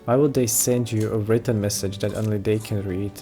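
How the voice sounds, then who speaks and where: A man's voice: on the low side, steady tone, a little fast, mellow. A man doing casual Let's Play commentary, giving short offhand reactions as if half talking to himself. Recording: game music behind the voice.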